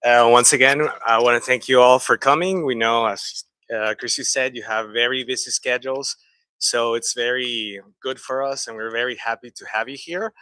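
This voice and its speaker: A man's voice: mid-range voice, steady tone, normal speed, bright, forceful tone, clean tone, dry recording. A man working as a sales representative, introducing his company and team on a handheld mic, a little hesitant with frequent fillers. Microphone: handheld microphone.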